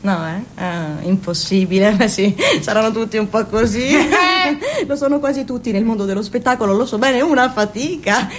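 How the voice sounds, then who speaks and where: A woman's voice: fairly high pitch, normal speed, hardly any pauses, a little hiss or hum, lightly acted. An actress playing an overbearing mother who turns from bitter scolding to doting, in a radio play. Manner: dramatic, swings from scolding to cooing. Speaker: mother of two grown children.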